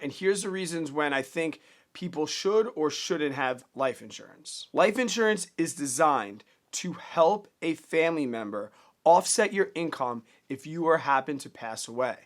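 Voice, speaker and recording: Man, 30s, slightly high voice, earnest, plainspoken, casual finance vlog. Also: lively intonation, moderate pace, fairly bright tone. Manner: earnest, plainspoken, repeats words when restarting. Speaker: personal finance vlogger. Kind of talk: casual direct-to-camera advice.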